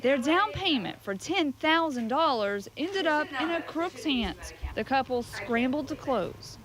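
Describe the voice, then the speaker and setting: A woman's voice: high voice, expressive, unhurried, a crowd in the background. An American woman narrating a scripted television news report as a reporter.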